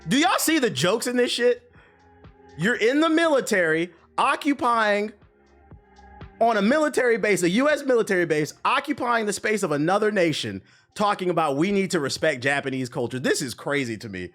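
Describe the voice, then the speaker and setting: A man's voice: sits high, expressive, moderate pace, a bit bright. A young man livestreaming, joking loosely through reaction commentary and muttering asides under his breath. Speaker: streamer. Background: faint background music.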